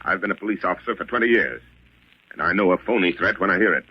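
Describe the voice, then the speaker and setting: Low, husky veteran police lieutenant. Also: male voice, lively intonation, no echo. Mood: dismissive reassurance. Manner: unhurried, dryly dismissive. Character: dismissive, reassuring veteran police lieutenant, middle-aged man. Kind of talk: 1940s radio mystery acting. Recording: muffled old 1940s radio recording.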